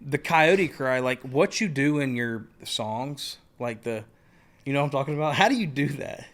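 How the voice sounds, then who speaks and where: Guy hosting a podcast, excited, keeps agreeing, mid pitch voice. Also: moderate pace. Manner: enthusiastic, eager agreement. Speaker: podcast host. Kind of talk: casual podcast interview.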